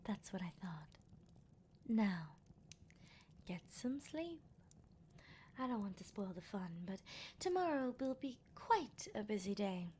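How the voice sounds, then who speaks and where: Woman playing a slow, whispery, menacing female vampire. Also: medium pitch, big pitch swings, darkish tone, slight background noise. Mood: soft threat. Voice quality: breathy, often dropping to a whisper. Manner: slow, deliberate, teasing and commanding. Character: predatory female vampire, possessive, coolly menacing. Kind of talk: ASMR roleplay, intimate monologue.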